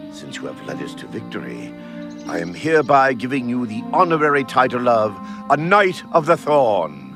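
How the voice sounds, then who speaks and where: Pompous young knight, wildly swooping delivery. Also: male voice, mid-range voice. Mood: grandly solemn. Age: young adult. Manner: pompous, grandiose, theatrical declaiming. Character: brash, pompous young knight, count's vain son. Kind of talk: fantasy video game acting. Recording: music behind the voice.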